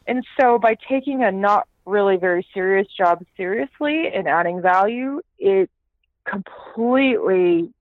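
A woman raised in Texas, born in Canada, chatting as an interview guest, talking in quick restarts and fillers. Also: mid-range voice, animated, dry recording. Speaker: guest, startup CEO. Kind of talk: casual interview talk. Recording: tinny phone-like line.

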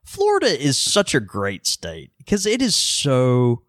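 A man's voice: medium pitch, huge pitch swings, takes it slowly, fairly bright tone, no room sound, clean studio sound. A man from the Southern United States co-hosting a podcast, rambling with many fillers and restarts. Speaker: podcast co-host. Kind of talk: casual podcast banter.

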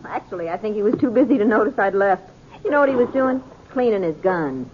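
An actress playing a friendly, teasing young American rancher's wife in a 1950s Western radio drama. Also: female voice, medium pitch, lively intonation, fast, natural voice. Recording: very noisy, muffled 1950s radio recording.

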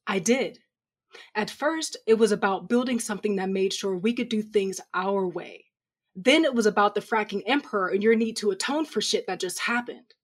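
Actress as a blunt, exasperated sci-fi comrade. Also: female voice, mid-range voice, moderate pace, natural voice. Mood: exasperated, challenging. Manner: blunt, confrontational, sardonic. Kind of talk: audio drama dialogue.

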